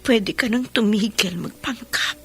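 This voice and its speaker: An actress playing a consoling younger sister-in-law in a radio drama, with a soothing, emotional and often hushed delivery. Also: female voice, mid-range voice, a little slow, slightly rough. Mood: hushed sorrow. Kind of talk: melodramatic Tagalog radio drama acting. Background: music.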